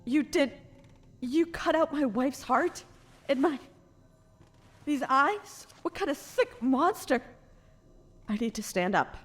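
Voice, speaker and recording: Resurrected, grieving mother for audio drama: slow, anguished delivery in long reverb, music beneath. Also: high-pitched, animated. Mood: horrified. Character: grieving, stitched-together woman brought back from death.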